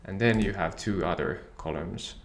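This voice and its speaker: A man whose first language is Finnish, calmly and methodically walking through a software tutorial. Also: deep voice, normal speed, slight background noise. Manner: calm, methodical explaining. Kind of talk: screen-share software tutorial.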